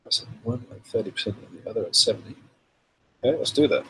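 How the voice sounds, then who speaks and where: A man with a cold and a slightly rough voice, hosting a casual live demo and answering viewer questions in a relaxed, chatty way. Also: fairly low pitch, quick pace, a little hiss or hum, rough recording. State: has a cold, voice a bit rough. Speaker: software developer hosting a live stream.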